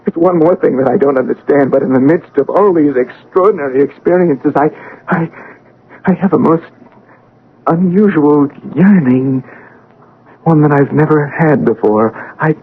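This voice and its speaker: A male actor plays an awestruck man of science adrift from his body in a vintage radio play. His voice sits a little high, halting and dramatic. The broadcast is thin and narrow, with faint noise behind it. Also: natural voice. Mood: wondering, yearning. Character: awestruck scientist floating outside his own dead body. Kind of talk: old-time radio drama acting. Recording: narrow-band, noisy old radio broadcast.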